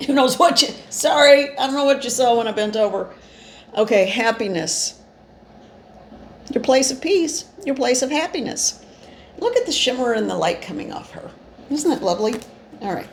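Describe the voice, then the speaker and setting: Gravelly-voiced woman in an echoey room. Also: fairly high pitch. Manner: meandering, earnest, gentle encouragement. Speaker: spiritual channeler and card reader. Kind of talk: informal spiritual card reading to camera. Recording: echoey room with faint noise.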